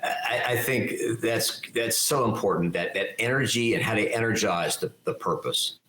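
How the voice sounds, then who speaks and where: Older man, low voice, loud and articulate, emphatic, echoey room. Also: moderate pace. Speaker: keynote speaker and leadership mentor. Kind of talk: interview answers, conversational.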